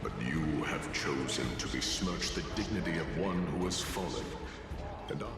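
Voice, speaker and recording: Deep, echoing war-god titan. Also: male voice, lively intonation, moderate pace. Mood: haughty, solemn. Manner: grandiose, formal, taunting. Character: imperious male war-god titan, mad, merciless king. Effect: heavy echoing reverb. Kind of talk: theatrical fantasy game villain acting. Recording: cavernous reverb, loud music over voice. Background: loud music.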